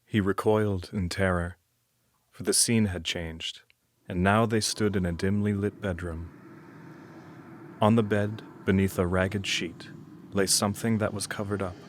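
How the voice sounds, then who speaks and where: A man's voice: low voice, steady tone, measured pace, no echo. A man narrating an audio drama as a grave, solemn storyteller with an ominous manner.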